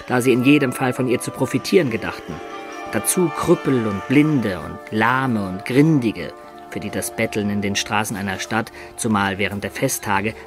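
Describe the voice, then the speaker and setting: Man playing storyteller adventurer in a radio drama, medium pitch, descriptive literary narration with music behind. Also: lightly acted.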